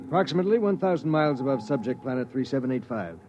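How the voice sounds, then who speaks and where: An actor playing an obedient starship bridge officer, giving clipped military reports in 1950s radio drama style. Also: male voice, mid-range voice, lively intonation, rapid-fire, natural voice. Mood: businesslike. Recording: muffled vintage 1950s radio recording.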